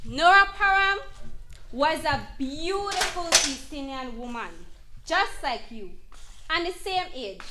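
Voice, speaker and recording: A woman's voice: high-pitched, expressive, slow, very noisy. A woman playing an earnest, emphatic advocate who counsels an abused mother in an educational dramatization. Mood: grave, emphatic. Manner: emphatic, instructive. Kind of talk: educational dramatization, deliberate explanatory delivery.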